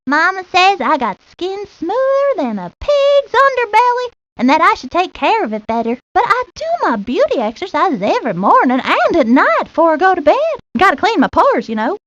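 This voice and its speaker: A woman's voice: very high-pitched, no room sound, exaggerated voice. A woman voicing a vain, prissy little girl with a Southern US accent in scripted lines for a video game. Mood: preening. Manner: prissy, self-admiring. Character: vain, girly little Southern belle, aspiring model. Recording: dull, lo-fi 1990s game audio.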